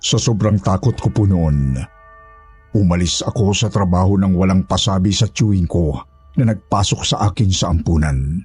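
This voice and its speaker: A man's voice: low voice, moderate pace, a little mellow, slightly rough, faint music behind. A man playing a humble former houseboy, narrating a horror story in the first person with an earnest, polite manner. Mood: recounting, earnest.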